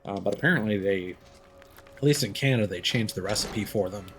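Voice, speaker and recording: Low-pitched man, casual game streamer. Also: fairly quick, music in the background. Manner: musing, thinking aloud. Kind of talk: casual gameplay commentary.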